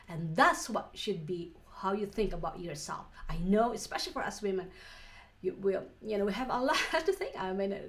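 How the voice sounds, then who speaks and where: A woman from the Philippines with a Filipino accent, teaching an online course lesson in an earnest way, with frequent restarts and soft laughs. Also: mid-range voice. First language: Filipino language. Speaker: online business coach.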